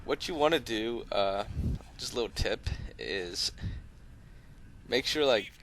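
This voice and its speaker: A man's voice: medium pitch, animated, takes it slowly, fairly bright tone, a little hiss or hum. A man giving casual, unscripted gameplay commentary as a video host, talking in an easygoing, rambling, conversational way. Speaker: host of a gaming video channel.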